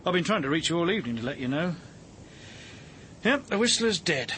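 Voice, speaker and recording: Blunt, wry detective inspector for radio crime drama. Bright, forceful delivery, animated intonation. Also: male voice, on the high side, brisk, a little hiss or hum. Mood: matter-of-fact. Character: gruff, sardonic male police inspector. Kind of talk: acted British radio drama dialogue.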